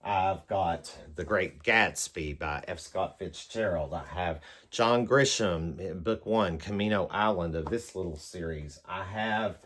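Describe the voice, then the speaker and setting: A man's voice: deep voice, unhurried, fairly bright tone, slight background noise. A man vlogging as a book reviewer, talking casually to camera in a rambling, hesitant way as he works through prompts. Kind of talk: casual chat to camera, answering numbered prompts.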